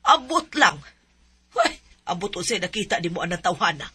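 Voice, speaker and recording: A woman voicing a kindly, fussing older aunt in a radio drama, speaking slowly and softly. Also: lowish voice, sing-song, husky, voice pushed down, full character voice. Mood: caring.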